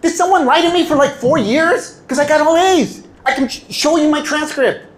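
Man, native Spanish speaker, high voice, animated storyteller, personal talk to a crowd. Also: normal speed, low-quality recording. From Southern California. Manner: animated storytelling, acts out quoted dialogue. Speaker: public speaker. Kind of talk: motivational talk to an audience.

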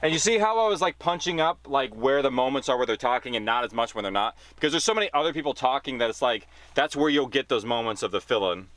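A man's voice: mid-range voice, expressive, quick pace, few pauses, a bit bright, no echo. A man working as a foley artist, chatting casually and thinking aloud with lots of filler "like"s. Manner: informal, thinking aloud with many "like"s. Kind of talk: casual work chat in a studio.

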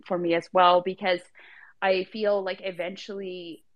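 A woman speaks in a somewhat low voice, chatting casually and filling her sentences with "like". She sounds conversational and unscripted. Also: little pitch movement, unhurried, highs cut off. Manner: chatty, frequent "like". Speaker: photographer guest. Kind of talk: casual live audio chat.

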